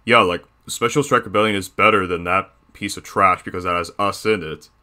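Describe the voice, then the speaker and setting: A crude, self-satisfied cartoon bear boss, acted out by a man, commenting on videos. The voice sits on the low side with a hard, forceful edge, and the manner is bossy and boastful. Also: fairly even intonation, brisk. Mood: smug, dismissive. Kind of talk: character reaction commentary.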